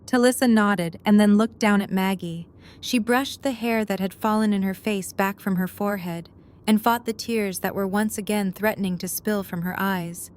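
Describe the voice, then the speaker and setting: A woman's voice: medium pitch, fairly even intonation, normal speed, a little mellow. A woman reading scripted third-person narration for an audio drama.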